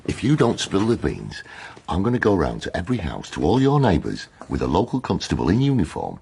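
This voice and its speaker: Very deep, gravelly British detective. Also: male voice, wildly swooping pitch, brisk, a little mellow, slight background noise, exaggerated voice. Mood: taunting, threatening. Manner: blunt, sardonic, menacing. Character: surly, sardonic, bullying male police detective. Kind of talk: naturalistic radio drama acting.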